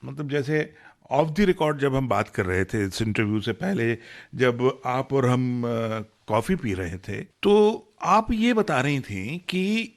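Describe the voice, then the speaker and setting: A man's voice: medium pitch, expressive, slow pace. A man with an Indian accent conducting a conversational radio interview, addressing his guest politely and formally with some English words mixed in.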